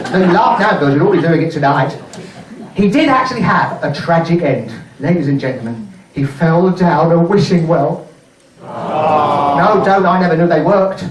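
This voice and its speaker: A man's voice: fairly high pitch, noisy background, lightly acted. A man playing a cheeky middle-aged widowed nurse as a pantomime dame, doing stand-up comedy in a mock-mournful, saucy manner. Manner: mock-mournful, saucy, delivering gags with deliberate pauses. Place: indoor charity show before a live audience. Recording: roomy live venue, distant microphone.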